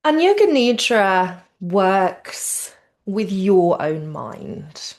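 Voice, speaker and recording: A woman teaching yoga nidra, giving gentle guided relaxation in a soothing, unhurried and informal way. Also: medium pitch, very animated.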